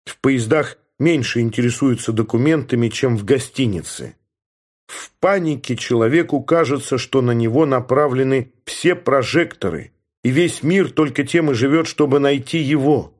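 A man giving a steady, measured first-person narration from a novel, in the manner of an audiobook reader. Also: medium pitch.

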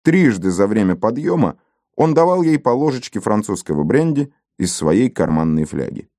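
A man reading an adventure novel aloud as an audiobook narrator, in an expressive, measured storytelling manner. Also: on the low side, dry recording, clean studio sound.

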